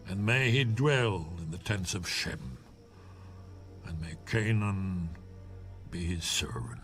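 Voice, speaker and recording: A man's voice: sits low, animated, slow pace, a bit bright, soft background music, full character voice. A man voicing a solemn old patriarch in a scripture reading, grave and drawn out like a proclamation. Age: older. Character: solemn old patriarch pronouncing curses and blessings.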